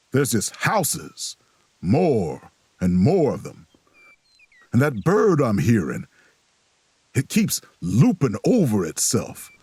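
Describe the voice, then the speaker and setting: A man acting as a calm, folksy traveler who narrates an eerie road trip in an audio drama, with a husky, measured delivery. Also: mid-range voice, dry recording, exaggerated voice.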